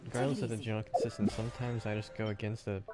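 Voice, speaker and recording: A man talking casually and offhandedly while playing a video game, rambling with hesitant pauses. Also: on the low side, little pitch movement, music in the background. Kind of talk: casual gameplay chat.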